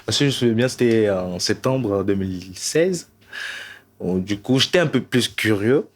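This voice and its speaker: Young man from Togo, low voice, hesitant panel talk, lots of fillers. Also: lively intonation, measured pace. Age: 20s. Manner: hesitant, many fillers, soft laughs. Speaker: dancer, singer and poet. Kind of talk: relaxed panel chat with a recited poem.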